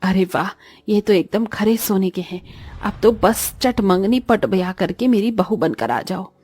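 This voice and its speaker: A woman's voice: medium pitch, lively intonation, unhurried, warm tone, dry recording, soft background music. A woman voicing a greedy, scolding mother-in-law in a cartoon story, with a taunting, melodramatic manner. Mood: scheming, greedy. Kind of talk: Hindi cartoon story voice acting.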